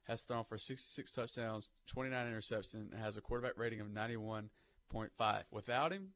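A man's voice: on the low side, fairly quick, fairly bright tone. A man on sports talk radio, reeling off statistics and weighing up a trade with a few fillers. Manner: rattles off stats, many fillers.

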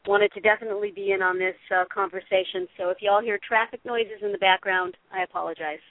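A woman co-hosting a podcast who calls in from her car in a parking lot and speaks in a friendly, relaxed way. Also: mid-range voice, fast talker, dry recording. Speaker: podcast co-host. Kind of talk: casual chat. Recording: dry tinny phone line.

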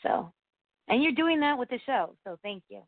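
A woman in her forties calling in to a talk show, warm and effusive, rambling a little, with a voice she says sounds young. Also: a little high, expressive, moderate pace, no room sound. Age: 40s. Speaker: caller. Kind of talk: call-in conversation. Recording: tinny phone line, dry.

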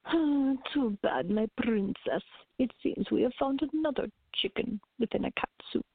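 Woman acting a husky, mystical cat-girl. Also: fairly high pitch, animated, normal speed, no echo, exaggerated voice. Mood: pouting, regretful. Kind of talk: farce radio play acting. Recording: muffled, phone-like narrow-band audio.

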